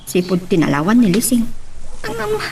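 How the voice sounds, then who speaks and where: A woman's voice: mid-range voice, huge pitch swings, a little fast, a little mellow, breathy edge, recorded from a distance, heavily acted. A woman playing a nosy, insistent gossiping wife in an Ilocano audio drama. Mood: gossipy.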